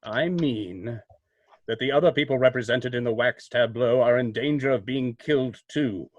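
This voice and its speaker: An actor playing a mysterious, self-assured vigilante in an old-time radio mystery, speaking in a formal, portentous, deliberate way. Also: male voice, medium pitch, no echo, muffled recording, lightly acted. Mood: grave.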